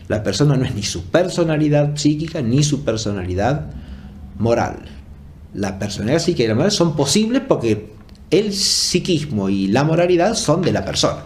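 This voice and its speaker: A man giving an explanatory academic lecture off the cuff, deliberate and often checking for agreement with short tag questions. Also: medium pitch, darkish tone, slight background noise. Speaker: philosophy lecturer.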